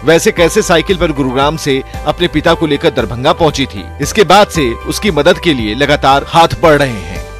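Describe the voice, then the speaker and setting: A man's voice: fairly high pitch, lively intonation, moderate pace, hardly any pauses. A man with an Indian accent reading a scripted news voice-over as a reporter. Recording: music bed under the voice.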